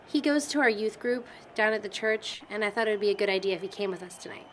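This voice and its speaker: A woman's voice: mid-range voice, fast talker, a little hiss or hum, natural voice, poor audio. A young woman playing a chatty college student in an indie film, with a teasing, informal manner. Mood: casual, thoughtful. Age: young adult. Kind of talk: naturalistic amateur film dialogue.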